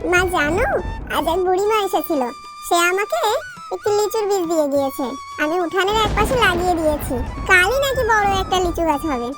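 A woman's voice: very high voice, expressive, a little fast, music in the background. A woman voicing a sweet, pleading little fox girl in a children's cartoon, with a childlike manner. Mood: kind, eager.

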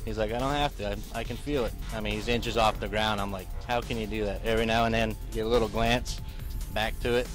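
A man in his forties with a lowish voice chats casually in an interview. He tells stories with quoted dialogue, outdoors on a handheld mic with music clearly behind him. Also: steady tone, fast talker. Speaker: interviewed parent of a young pilot. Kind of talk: casual anecdotal interview talk. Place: outdoors beside parked cars. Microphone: interviewer's handheld microphone.

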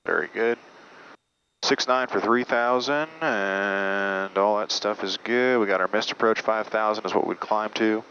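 A man's voice: on the low side, fairly even intonation, unhurried, bright, forceful tone, dull audio. A man piloting a small plane, narrating his approach and making radio calls in clipped checklist phrases. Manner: clipped checklist call-outs. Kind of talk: cockpit commentary and radio calls. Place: small aircraft cockpit in flight. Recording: noisy, band-limited cockpit audio.

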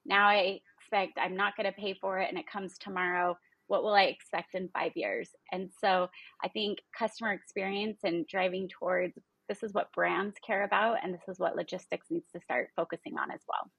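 Interview guest: woman, thirties, businesslike and explanatory, gravelly edge, very little room sound. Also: mid-range voice, flat delivery, normal speed, fairly bright tone, dry recording, poor audio. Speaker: interview guest, logistics partnerships executive. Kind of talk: conversational interview answers. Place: indoor room, video call. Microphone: video call, close framing.

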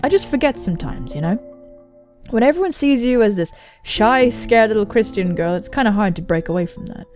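A young woman, a gaming host, reading visual novel text aloud and commenting on it in a jokey, animated way with casual swearing. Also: mid-range voice, fairly quick, soft background music. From England. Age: young adult. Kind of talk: let's play commentary and dramatic reading of on-screen dialogue. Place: indoor room. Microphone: large microphone close to the mouth.

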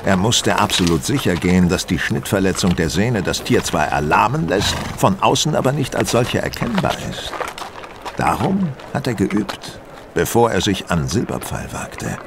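A man playing a shrewd, self-assured detective who explains things deliberately in a German audio drama. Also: low-pitched, sing-song, creaky, noisy background, heavily acted. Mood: assured, playful.

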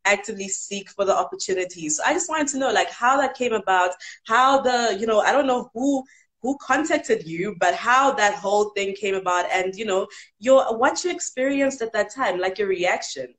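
A woman's voice: mid-range voice, moderate pace, fairly bright tone. A young woman hosting a casual video-call interview, bubbly, friendly and playful, on a headset from a room at home. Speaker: YouTuber host.

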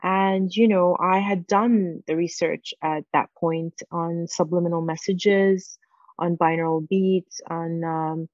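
A woman in her forties, a native Farsi speaker, telling a personal story on a video call with many pauses and fillers. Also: on the low side, steady tone, darkish tone, dry recording. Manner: halting, many fillers and restarts. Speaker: guest. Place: home room with windows. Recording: dull, dry video-call audio.

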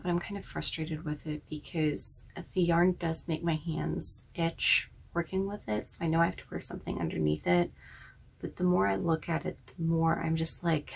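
A woman's voice: lowish voice, vocal fry, breathy edge. A woman in her thirties chatting casually about her knitting projects at home, self-deprecating and full of ums, recorded on a webcam in a small living room. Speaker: knitting podcast host. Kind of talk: casual knitting vlog chat.

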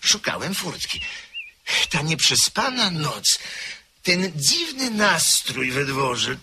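An actor playing a nervous, short-sighted gentleman who stammers through an anxious retelling in a radio play. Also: on the high side, wildly swooping pitch, takes it slowly, exaggerated voice. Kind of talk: radio drama acting. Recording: old radio play with faint hiss.

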